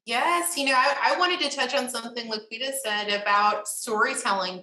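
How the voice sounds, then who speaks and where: A woman panelist speaking in a measured, informative way on a video call. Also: mid-range voice, sharp tone. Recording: echoey room.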